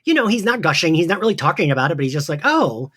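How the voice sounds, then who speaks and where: A man's voice: a little high, big pitch swings, racing, sharp tone. A male podcast host talks through a pop-culture recap in a casual way. He rambles chattily from point to point, dropping slang and the odd swear word into his sentences.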